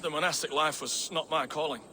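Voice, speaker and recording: An actor playing a cowardly former monk in a video game, stammering and pleading nervously. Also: male voice, on the high side, steady tone, a little fast, sharp tone, a little hiss or hum. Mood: frightened, pleading. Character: cowardly babbling man, former monk serving as a lord's double.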